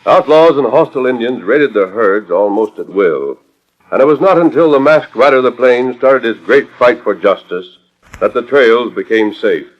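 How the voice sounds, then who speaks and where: A man's voice: medium pitch, lively intonation, normal speed, lightly acted. A man narrating a 1940s radio Western in a dramatic, declamatory storytelling style. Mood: stirring, dramatic. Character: grave, stirring storyteller of a Western adventure. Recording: dull old radio disc with music.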